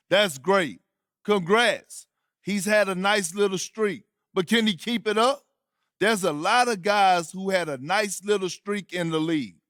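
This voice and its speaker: A man's voice: sits high, a bit bright, no echo, studio quality. A man playing a cocky sports show host who needles a rookie with teasing, skeptical, punchy delivery in a video game. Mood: mocking, skeptical. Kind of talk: sports talk show monologue.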